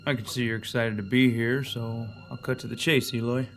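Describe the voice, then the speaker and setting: An actor playing a weary, awkward middle-aged police detective in an audio drama. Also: male voice, mid-range voice, little pitch movement, fairly quick, faint music behind, lightly acted. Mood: terse, businesslike.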